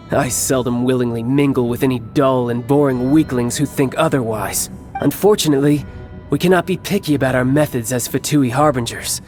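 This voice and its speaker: An actor playing a cocky, battle-hungry young villain in a video game cutscene, speaking in a measured, smug and theatrical way with formal phrasing. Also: male voice, medium pitch, a little mellow, natural voice. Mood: smug, disdainful.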